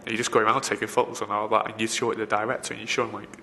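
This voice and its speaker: A man's voice: on the low side, little pitch movement, rapid-fire, vocal fry, bright, forceful tone. A man, a film location assistant, giving rambling interview answers full of fillers and restarts. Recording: reverberant room, faint background noise.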